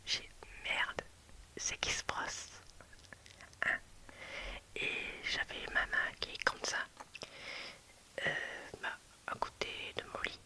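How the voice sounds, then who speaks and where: A woman whispering a personal story to her viewers as a video creator, hushed and hesitant, with many restarts and fillers. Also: slow pace, pauses often, poor audio.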